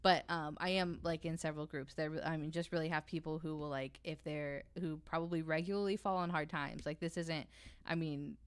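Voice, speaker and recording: A woman's voice: low-pitched, fairly even intonation, brisk, smooth, dry recording. A woman talking casually and informally as a podcast panelist, swearing freely and feeling her way through her phrasing. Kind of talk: casual unscripted discussion.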